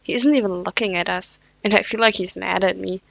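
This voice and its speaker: A woman voicing a nervous young male college athlete in a fanfiction reading, squeaky and panicky. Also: fairly even intonation, a little fast, gravelly, a bit bright, no echo.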